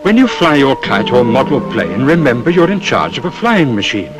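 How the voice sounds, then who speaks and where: A British actor playing a knowing, patient but stern safety teacher, explaining and admonishing in a scripted public information film. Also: male voice, mid-range voice, big pitch swings, over music, close to an everyday voice. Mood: instructive, cautionary. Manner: instructive, admonishing, measured explanations. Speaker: safety film narrator and instructor.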